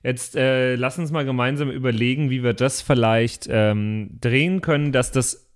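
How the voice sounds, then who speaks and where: A man's voice: mid-range voice, normal speed, clean tone. A man chatting casually as a tabletop player, hesitantly thinking aloud in fragments. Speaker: tabletop role-playing player. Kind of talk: casual chat.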